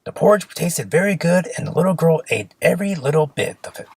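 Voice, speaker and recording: An expressive storyteller reads a simple fairy tale for children. The voice is husky and creaky, moving up and down a great deal in a dry, close space. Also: mid-range voice, fairly quick, dry recording.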